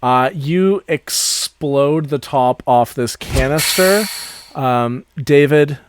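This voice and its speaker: A man's voice: on the high side, slow, noisy background. A man acting as game master, narrating a tabletop game in a casual, descriptive way.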